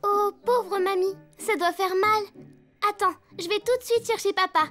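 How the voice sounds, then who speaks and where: A woman's voice: very high-pitched, moderate pace, a bit bright, soft background music. A woman voicing an eager young elephant child in a French cartoon dub. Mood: dutiful.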